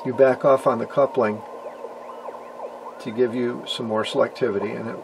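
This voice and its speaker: A man's voice: medium pitch, steady tone, normal speed, gravelly. A man giving a casual, technical hands-on demonstration as an amateur radio operator, explaining as he goes. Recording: loud radio static and noise behind.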